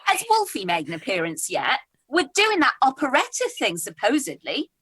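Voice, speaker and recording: A cheery woman at the pub, played by an actress in a radio comedy. Her voice is high, bright and very animated, with a playful, competitive streak and a chatty manner. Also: normal speed, breathy edge, full character voice. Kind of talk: radio comedy play reading.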